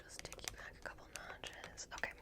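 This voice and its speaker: A woman speaking in a soft, breathy whisper, gently guiding the listener through an ASMR roleplay as a repair technician. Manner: gentle, reassuring, unhurried guidance. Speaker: ASMR performer playing a robot repair technician.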